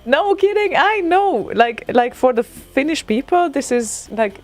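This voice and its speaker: A woman from Hungary with a Hungarian accent, co-hosting a casual radio chat, animated and exclaiming as she talks. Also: high-pitched, unhurried, faint music behind. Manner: animated, exclaiming, laughs often. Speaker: radio co-host.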